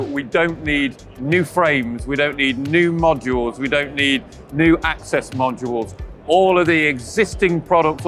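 Slightly high-voiced male trade show interviewer. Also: fairly bright tone. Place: busy trade show exhibition hall.

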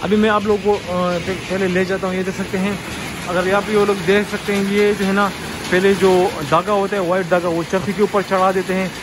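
A man's voice: high voice, little pitch movement, brisk, noisy background. A man with a Pakistani Urdu accent, filming on a phone as he walks through a workshop and explains each step in a chatty vlog. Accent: Urdu-speaking Pakistani. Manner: chatty, repeats "you can see" while pointing. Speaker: vlogger showing a factory. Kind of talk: casual walkthrough vlog. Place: indoor workshop with machines. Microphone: handheld phone camera.

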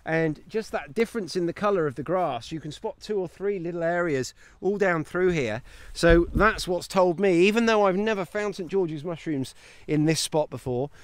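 A man's voice: high-pitched, moderate pace, few pauses, no echo. Keen man vlogging while walking outdoors. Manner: chatty and enthusiastic, talking while walking. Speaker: homesteading channel host. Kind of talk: walking vlog, mushroom foraging tips. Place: outdoors in a grassy paddock. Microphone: phone held at arm's length.

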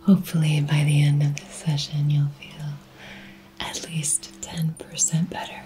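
A woman softly whispering a soothing ASMR Reiki session, speaking gently and personally to the listener as a healer. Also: low voice, flat delivery, a little slow, a little hiss or hum. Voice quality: breathy whisper. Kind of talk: soft-spoken ASMR healing session.

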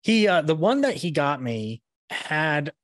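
A man's voice: a little high, very animated, measured pace, no echo. A young adult man in a casual fan chat as a podcast host, speaking into a close podcast mic. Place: small room indoors, video call. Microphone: podcast mic on boom arm, headset.

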